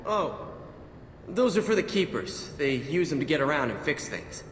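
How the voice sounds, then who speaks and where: A flustered, officious worker in a video game, with a lifted, lively voice. He is plain-spoken and quick to object, heard in an echoey space with faint noise. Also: a little high. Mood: placated. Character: indignant, easily placated private property worker.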